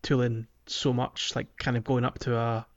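A man's voice: mid-range voice, little pitch movement, moderate pace, highs cut off. A man hosting a podcast, casually discussing a video game and feeling his way through explanations in a hesitant, meandering manner. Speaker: podcast host.

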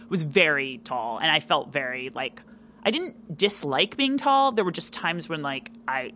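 Woman, podcast guest, loud, chatty, filler words, bright tone, faint room noise. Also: deep voice, expressive, normal speed. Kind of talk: casual interview chat.

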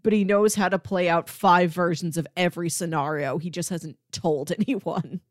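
A woman's voice: fairly low pitch, fairly even intonation, moderate pace, no room sound. Articulate woman podcaster with dry, close sound. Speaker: podcast co-host. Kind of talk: casual comics discussion.

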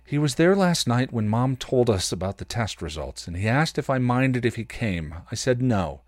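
A man's voice: medium pitch, very animated, normal speed, no echo, clean studio sound, voice pushed up, close to an everyday voice. A man reading the part of a worried young woman, firm and impatient, in a storybook narration.

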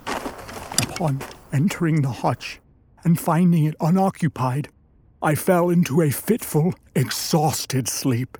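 A man plays a wounded, articulate man-made creature telling its own tale in a radio drama. The voice is high and soft-edged, and the delivery is slow, formal and full of longing. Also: slight background noise. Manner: formal, literary, measured storytelling with drawn-out words. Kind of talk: dramatic radio play narration.

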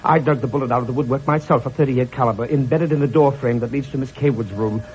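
An actor playing a fussy, weary, irritable rich heir in a 1940s radio drama, querulous and agitated. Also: male voice, medium pitch, fast talker, darkish tone, close to an everyday voice. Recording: dull old radio recording.

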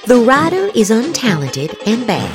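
A woman's voice: on the high side, wildly swooping pitch, moderate pace, over loud music. A woman hosting a podcast, reading social media posts aloud theatrically with exaggerated inflection and mock emphasis. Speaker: podcast host.

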